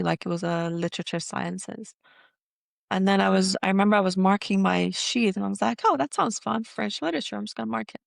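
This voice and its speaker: A woman from Iran, a dentist guest in an interview, talking casually with many pauses and fillers. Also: mid-range voice, vocal fry, dry recording, studio quality.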